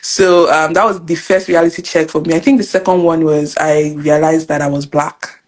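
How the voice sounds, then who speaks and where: A young woman from Nigeria with a Nigerian accent, telling her story as an interview guest in an earnest, animated way. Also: low voice, a little hiss or hum. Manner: fast, earnest, animated storytelling with repeated phrases. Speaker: guest, financial educator and business coach. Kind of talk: casual interview conversation.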